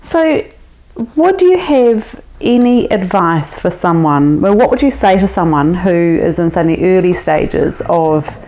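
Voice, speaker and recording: A woman's voice: on the low side, expressive, a little slow, a little mellow, poor audio. A woman hosting an interview, asking plain questions and giving short encouraging replies. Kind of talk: casual interview.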